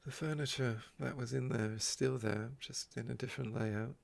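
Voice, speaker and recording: A soft-spoken man with a croaky voice, telling stories about his day in an unhurried, wistful ASMR ramble. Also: mid-range voice, fairly even intonation. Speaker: ASMR video creator.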